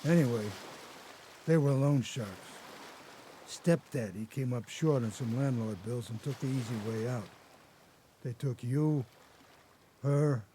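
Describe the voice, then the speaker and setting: An actor playing a haunted old grandfather, an escaped convict telling his story haltingly in a radio drama. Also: medium pitch, warm tone, natural voice. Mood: bitter, confiding. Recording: noticeable background noise.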